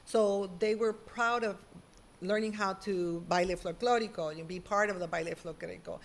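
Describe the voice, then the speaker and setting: A middle-aged woman speaks as a guest over a video call. Her voice sits in a middle pitch, and she tells her stories with animated emphasis. A faint hiss runs beneath her. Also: normal speed. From Puerto Rico. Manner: animated, emphatic storytelling with lively quoted asides. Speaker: guest, former school principal. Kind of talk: conversational interview.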